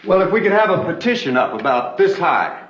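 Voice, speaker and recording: A young actor playing a polite, smooth-talking stranger in an Old West TV drama, on a muffled vintage soundtrack. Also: male voice, a little high, big pitch swings, fairly quick, fairly bright tone. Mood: persuasive. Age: young adult.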